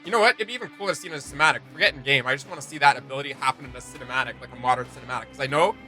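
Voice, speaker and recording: A man's voice: medium pitch, racing, sharp tone, soft background music. A man hosting a fan reaction show, giving casual, slangy and enthusiastic commentary.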